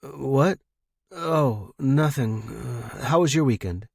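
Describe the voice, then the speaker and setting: A man's voice: medium pitch, slow, creaky, a little mellow, slightly rough, no echo, clean studio sound, heavily acted. A man voicing a bitter, distracted young office worker in an audio story, curt and casual. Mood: distracted, evasive. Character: David, bitter, sarcastic, distracted young male office analyst.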